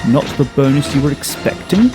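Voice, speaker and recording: A man's voice: medium pitch, fairly quick, over music, close to an everyday voice. A man playing a formal, mock-courteous villain boss in an audio drama, coolly menacing and commanding. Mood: smug politeness. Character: menacing male villain boss, coolly polite, mad schemer.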